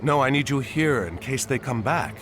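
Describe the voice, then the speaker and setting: An actor playing a resolute admiral and warrior hero in a video game, commanding and earnest. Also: male voice, mid-range voice, expressive, normal speed, background noise, natural voice. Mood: composed. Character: bold, resolute Atlantean admiral, heroic male warrior. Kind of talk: video game cutscene acting.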